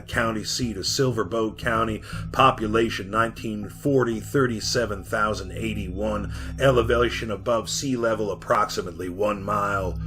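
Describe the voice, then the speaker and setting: An actor playing a sinister, haunted survivor who serves an underground power, telling his tale deliberately in a retro radio drama. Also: fairly low pitch. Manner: ominous, deliberate storytelling.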